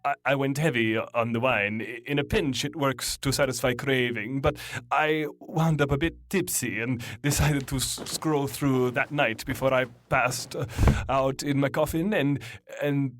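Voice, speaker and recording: A man playing an awkward, lovestruck centuries-old vampire in a comedy audio drama, speaking bashfully with halting stammers. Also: medium pitch, fairly even intonation, fairly bright tone, a little hiss or hum, close to an everyday voice. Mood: smitten. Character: lovestruck, awkward centuries-old male vampire telling his dating story. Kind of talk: mock dating testimonial in comedy audio drama.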